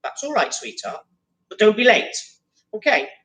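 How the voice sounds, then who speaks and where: A man voicing a busy, distracted parent in a children's story, his voice dropping low on the pet names. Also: wildly swooping pitch, normal speed, fairly bright tone, far from the mic, full character voice. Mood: busy, soothing. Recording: roomy, from away from the mic.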